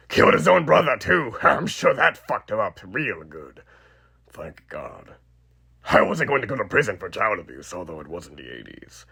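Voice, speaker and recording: A man voicing a sadistic, elderly undead killer animatronic in a horror roleplay, raspy and theatrical, with mocking menace and muttered asides. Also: on the low side, sharp tone, full character voice. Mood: bitter, menacing. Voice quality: raspy, gravelly.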